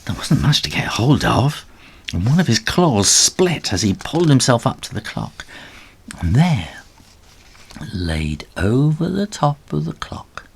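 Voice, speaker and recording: A man reading a children's story aloud in a dramatic, animated storybook style. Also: mid-range voice, a little slow, creaky, husky. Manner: dramatic, with acted sound effects.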